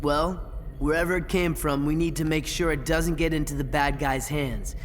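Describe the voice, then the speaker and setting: A man voicing a cocky, sarcastic tough teenage boy in an animated game cutscene, with a casual, sardonic delivery. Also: a little high, normal speed, slight background noise, natural voice. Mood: wry.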